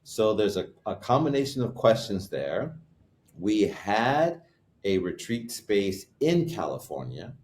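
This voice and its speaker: Very deep-voiced man telling a story. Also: very animated, a little slow, a little mellow. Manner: rambling, searches for words, self-corrects. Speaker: guest, wine retreat co-host. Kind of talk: casual chat, storytelling.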